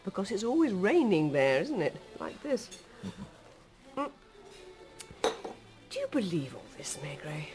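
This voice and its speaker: A lively, gently chiding wife. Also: female voice, mid-range voice, a little slow, pauses often, faint music behind. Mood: questioning. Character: detective's wife, middle-aged, sympathetic, gently disapproving. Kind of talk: naturalistic radio drama acting.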